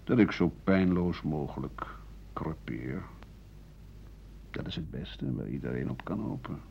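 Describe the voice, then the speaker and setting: A man playing a weary, embittered writer of 67 in a radio play, grumbling in halting phrases that trail into breathy murmurs. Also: a bass voice, expressive, full character voice. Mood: resigned. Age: older. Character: embittered, weary 67-year-old French writer and doctor, pessimistic. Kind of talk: radio play monologue as interview answers. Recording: dull, hissy 1980 radio recording.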